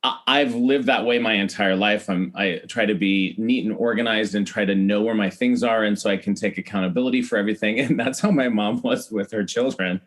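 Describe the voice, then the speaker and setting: A man's voice: on the low side, a little fast. A middle-aged American man telling personal stories as a podcast guest, chatty and emphatic, often acting out what people said. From Iowa, United States. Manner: chatty, acts out quoted remarks, emphatic repetition. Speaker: podcast guest, celebrity hair stylist. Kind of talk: animated personal storytelling in a podcast interview.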